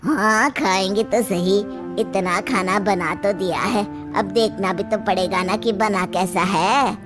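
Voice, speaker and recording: A woman voicing a gossipy middle-aged guest in an animated story, teasing and effusive. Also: medium pitch, takes it slowly, over music, pitched below the performer's own voice, lightly acted. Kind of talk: animated Hindi moral story voice acting.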